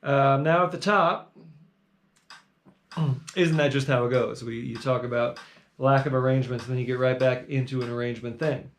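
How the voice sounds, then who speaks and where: A man teaching a live bluegrass jam lesson, calmly explaining the arrangement step by step and counting the band in. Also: medium pitch, normal speed, long pauses, slight background noise. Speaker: guitar teacher leading a jam. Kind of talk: live online music lesson. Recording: loud bluegrass guitar playing along.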